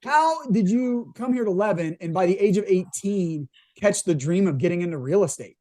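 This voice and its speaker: A man's voice: high-pitched, lively intonation, normal speed, a little mellow, no echo. A man hosting a podcast, chatty and full of fillers as he introduces and questions a guest. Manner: chatty, many fillers and restarts. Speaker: podcast host. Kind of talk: casual interview talk.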